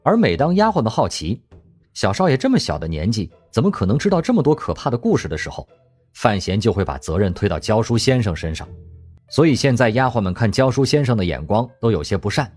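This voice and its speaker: A man narrating an audio drama, telling the story expressively in a husky voice. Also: medium pitch, darkish tone.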